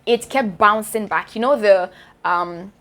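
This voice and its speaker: A woman's voice: mid-range voice, a little slow, fairly bright tone. A young Nigerian woman with a Nigerian accent, giving chatty, direct hair care advice to her viewers in a casual vlog. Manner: chatty, direct, addresses viewers as sis and guys.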